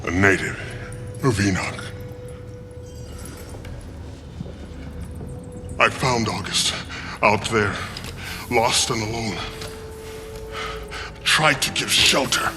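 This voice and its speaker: An actor playing a secretive, world-weary insider guide in a video game cutscene, terse and earnest. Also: male voice, lowish voice, takes it slowly, long pauses, over music. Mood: solemn.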